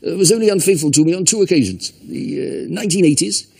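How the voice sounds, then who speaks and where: A man voicing a bumbling, pompous older prince with an upper-class English accent, halting and tetchy, in a comedy voice-over. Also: high-pitched, gravelly, darkish tone, husky, heavily acted. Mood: awkwardly jokey. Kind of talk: improvised comedy voice-over of newsreel footage. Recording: noisy TV studio sound with audience.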